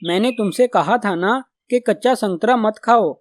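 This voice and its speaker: A woman voicing a sensible, obedient young male sparrow in a children's cartoon, earnest and gently chiding. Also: medium pitch, unhurried, slight background noise, natural voice. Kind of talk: Hindi children's cartoon dubbing.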